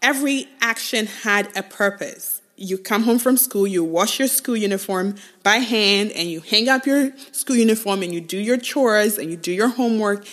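A young woman from West Africa talking alone about her life, halting and thoughtful, often restarting her phrases. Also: medium pitch, a bit bright. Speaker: podcast host. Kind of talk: reflective personal monologue.

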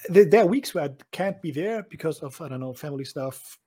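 German man, husky voice, rambling talk. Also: a little high, expressive, brisk, dark tone. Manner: repeats words and restarts, laughs often. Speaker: podcast co-host. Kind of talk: casual chat.

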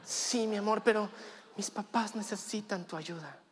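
A woman's voice: medium pitch, moderate pace, close to an everyday voice. A woman playing a devoted young wife from Colombia in an improvised comedy scene, talking earnestly and pleading with her husband. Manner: earnest, pleading, breaks into laughter. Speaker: improv performer. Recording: long hall reverb.

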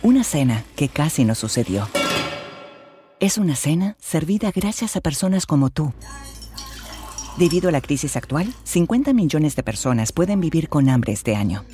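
A man narrating a public service announcement in a measured, scripted read. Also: on the high side, very animated, over music.

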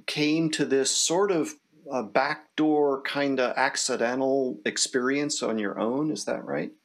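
A man interviewing in a conversational way, phrasing his questions deliberately and haltingly. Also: medium pitch, steady tone, creaky.

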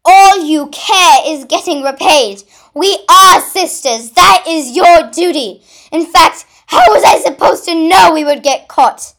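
A woman's voice: very high-pitched, slow pace, fairly bright tone, pitched above the performer's own voice, heavily acted. A woman voicing a quarrelsome younger sister animal in a storybook, emphatic and indignant. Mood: furious. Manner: emphatic, exclaiming delivery.

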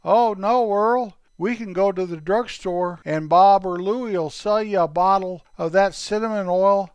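A man's voice: sits high, measured pace, darkish tone, clear, resonant tone, no echo, highs cut off, pitched above the performer's own voice. A man doing a cheery boy named Forky. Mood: cheerful, proud. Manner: ends nearly every sentence with his pal's name. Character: eager young boy Forky, cheerful know-it-all pal.

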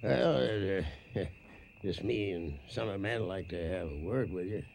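An actor playing a hesitant cowhand with a gravelly American Western drawl and a nervous stammer, in a radio drama. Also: male voice, sits low, animated, a little mellow, exaggerated voice. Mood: hesitant, uneasy. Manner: halting, nervous stammer with small chuckles. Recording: noisy radio drama recording.

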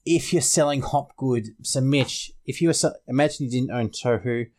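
A man's voice: medium pitch, normal speed, a little mellow. A man hosting a casual sports podcast, chatting about fantasy picks. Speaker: podcast host.

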